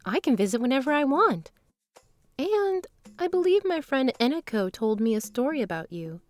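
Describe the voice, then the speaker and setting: A woman playing an earnest, guarded traveling performer with formal, measured phrasing in a fantasy audio drama. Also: sits high, very animated, no echo. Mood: composed, earnest.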